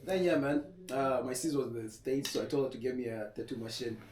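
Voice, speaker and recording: A young man from Kenya talks in an easy, slangy, unhurried way, like a tattoo artist chatting with a friend. He sits back from the microphone, so his voice sounds distant and picks up the room's echo. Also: mid-range voice, a little mellow. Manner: laid-back, slangy, laughs often. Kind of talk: casual podcast chat. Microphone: podcast mic set back. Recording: echoey room, voice off-mic.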